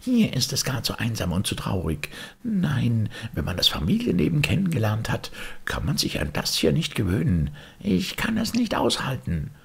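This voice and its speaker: A man's voice: mid-range voice, wildly swooping pitch, normal speed, vocal fry, husky, pitched above the performer's own voice, heavily acted. A man voicing a lonely little tin soldier in a storybook, lamenting plaintively. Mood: despairing.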